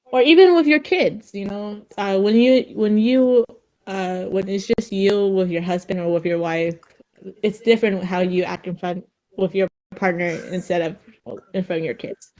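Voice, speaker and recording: A woman with a slight Vietnamese accent, chatting casually as a streamer on a podcast, halting and restarting often with frequent giggles. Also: medium pitch, a little mellow, rough recording. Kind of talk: casual chat.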